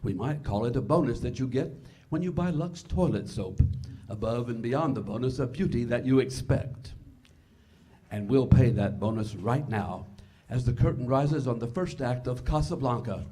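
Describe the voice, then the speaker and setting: A man's voice: mid-range voice, expressive, normal speed, dark tone. A man announcing and narrating in 1940s radio style, formal and theatrical.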